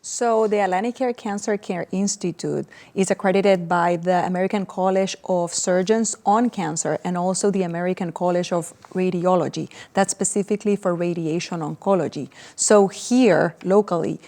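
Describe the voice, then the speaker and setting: A woman's voice: on the low side, moderate pace, hardly any pauses, a little mellow. A woman doctor in her forties from Puerto Rico, with a Puerto Rican accent and Spanish as her first language, explaining things earnestly and step by step in a TV interview. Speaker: cancer doctor.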